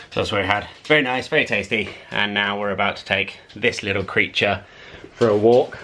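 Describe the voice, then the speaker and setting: A man, a personal trainer talking through his day in a relaxed, rambling vlog with frequent ums. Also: medium pitch, a little hiss or hum. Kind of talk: casual vlog narration. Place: home, car and outdoor walk.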